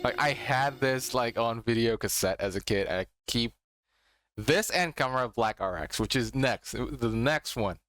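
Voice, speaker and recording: A man's voice: mid-range voice, moderate pace, a bit bright, faint music behind. A young man from Indonesia, Indonesian as his first language, chatting casually and nostalgically as a reaction video host, rambling with fillers.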